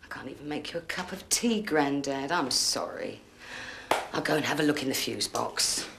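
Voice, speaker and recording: A middle-aged actress playing a brisk, mildly exasperated modern mother in a children's TV drama. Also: rumbling low, gravelly, background noise. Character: busy modern mum, brisk and practical.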